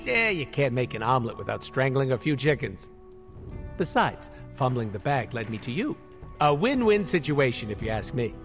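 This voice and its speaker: A man's voice: medium pitch, moderate pace, over music. A man playing an irreverent, wisecracking mercenary antihero in a video game, sarcastic and flippant. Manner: sarcastic, flippant, cartoonishly animated. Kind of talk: comic video game character acting.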